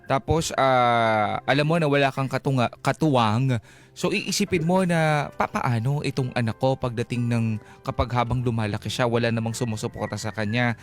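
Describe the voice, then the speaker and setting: A man's voice: mid-range voice, moderate pace. A Filipino man hosting a radio show in a conversational, empathetic way, recapping and explaining. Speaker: radio host. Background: background music.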